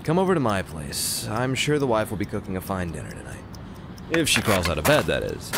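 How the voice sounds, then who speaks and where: A man's voice: deep voice, huge pitch swings, brisk, exaggerated voice. A man acting in a radio play as a weary, reluctant gang member, speaking hesitantly and conversationally. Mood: subdued, friendly. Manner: hesitant, apologetic, throat-clearing, conversational. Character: weary, reluctant crime-gang family man giving orders. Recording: clear ambient noise, ticking clock.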